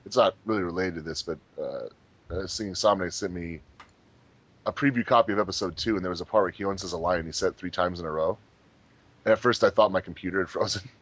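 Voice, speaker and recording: Deep, husky, lively man joking around. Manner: animated, joking, quick asides. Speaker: fandub member. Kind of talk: casual commentary chat.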